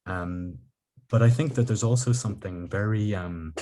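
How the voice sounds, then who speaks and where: A man who grew up in Ireland, with a very deep voice, hosts a reflective interview on a video call. He talks slowly and thoughtfully, with many ums and restarts. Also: mellow. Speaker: podcast host. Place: living room at home.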